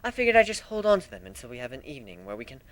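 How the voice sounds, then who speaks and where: A man giving an amateur dramatic reading of a first-person story, expressive and a little unpolished. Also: mid-range voice, rapid-fire, low-quality recording. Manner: expressive, animated, with slips and restarts.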